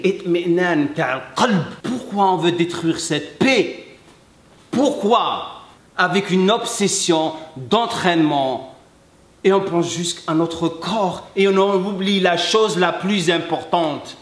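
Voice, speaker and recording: A man, a French speaker, talking earnestly to camera as a fitness coach, emphatic and halting, slipping between French and Arabic. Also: high-pitched. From Algeria. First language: English. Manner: emphatic, halting non-native French with repeats. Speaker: fitness coach and video host. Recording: echoey room.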